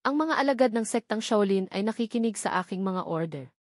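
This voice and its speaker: A woman's voice: mid-range voice, expressive, brisk, dry recording, clean studio sound, natural voice. A woman reading the part of a cold, commanding martial-arts sect leader in a story.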